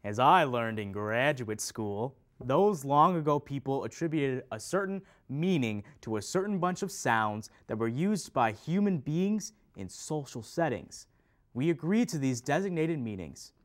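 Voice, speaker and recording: Animated, pedantic male teacher, acted by a man. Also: mid-range voice, measured pace. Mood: pedantic, insistent. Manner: emphatic, stretched key words, didactic. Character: pedantic male teacher patiently correcting a student. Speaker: readers theater performer. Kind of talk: scripted instructional stage dialogue.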